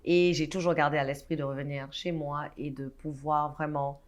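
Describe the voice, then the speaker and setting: A woman's voice: deep voice, level tone, normal speed. A woman in her thirties from Guinea, a guest in a studio interview, talking animatedly with frequent restarts and hesitations. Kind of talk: casual interview talk. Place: studio set.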